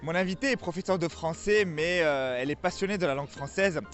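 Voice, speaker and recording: A man hosting a TV interview, chatting informally and warmly with his guest. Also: fairly high pitch, fast. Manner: friendly, informal, addresses guest as tu. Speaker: TV host. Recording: dull lo-fi TV audio over music.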